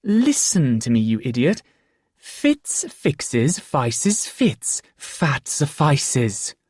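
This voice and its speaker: A man voicing a strange, cryptic male court fool in a high piping voice, chanting and enunciating elaborately. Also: big pitch swings, extremely slow, a little mellow, husky, no echo, clean studio sound, heavily acted. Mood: exasperated, arch.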